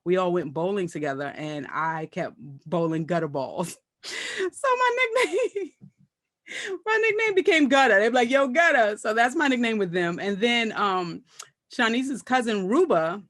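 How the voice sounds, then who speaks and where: A woman's voice: medium pitch, big pitch swings, measured pace, a bit bright, no echo, clean studio sound. A woman from Brooklyn with a Brooklyn accent, a comedian guest chatting casually and animatedly, often laughing and slipping into voices when she quotes people. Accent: Brooklyn New York. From Brooklyn, New York. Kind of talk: casual chat.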